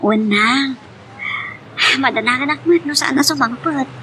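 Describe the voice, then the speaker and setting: A woman's voice: high-pitched, a little slow, pushed and bright, a little hiss or hum. A woman acting a fretful, emotional young wife and mother in an amateur drama, with an Ilocano Filipino accent. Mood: subdued, worried.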